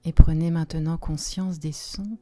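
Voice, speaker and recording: Very dark, slow-voiced woman guiding relaxation. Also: sits low, fairly even intonation. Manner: soft, soothing, unhurried with long pauses, sometimes breathy. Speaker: yoga nidra relaxation guide. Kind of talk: guided relaxation meditation. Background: soft music.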